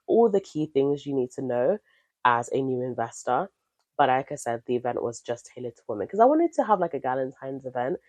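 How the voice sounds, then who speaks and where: A woman's voice: rumbling low, a little mellow, no echo. A woman in her thirties hosting her own podcast, talking in a chatty, candid and upbeat way while nasal from a cold. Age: 30s. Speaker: podcast host. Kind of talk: casual solo podcast chat.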